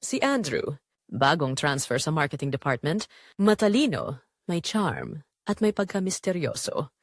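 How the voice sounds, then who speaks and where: A woman with a Filipino accent narrating a scripted romance story in an expressive, measured way with dramatic pauses. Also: low voice, no echo, studio quality.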